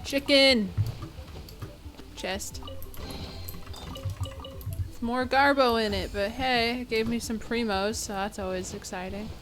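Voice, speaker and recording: Slow, high-voiced woman casually playing games. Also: fairly bright tone, faint music behind. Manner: talks to herself in short reactions, offhand. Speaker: video game streamer. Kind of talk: casual gameplay commentary.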